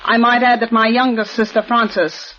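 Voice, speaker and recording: A woman's voice: a little high, flat delivery, normal speed, fairly bright tone, natural voice. A proper, insistent heiress in her forties, played by a woman, speaks in formal, clipped 1940s radio style. The sound is dull and lo-fi, like an old broadcast. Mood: tense. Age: 40s. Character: tense, proper wealthy eldest daughter.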